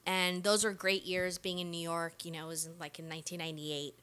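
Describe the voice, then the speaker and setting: Bright-voiced middle-aged woman telling stories casually. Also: fairly low pitch, level tone, a little fast, smooth. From Costa Rica. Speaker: podcast guest, music manager. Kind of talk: casual conversational storytelling.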